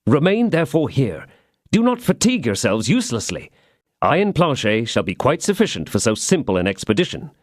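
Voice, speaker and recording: A man's voice: medium pitch, fairly quick, no room sound, studio quality. A man voicing an impulsive, eager young male swordsman in an audiobook, talking in a raised, urgent voice. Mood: confident. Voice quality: excited, urgent.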